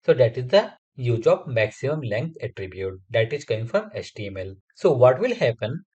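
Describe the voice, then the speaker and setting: Lively-voiced man teaching. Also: medium pitch, moderate pace, breathy edge, highs cut off. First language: Hindi. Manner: explains step by step, methodical. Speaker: software testing instructor. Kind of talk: screen-recorded coding tutorial.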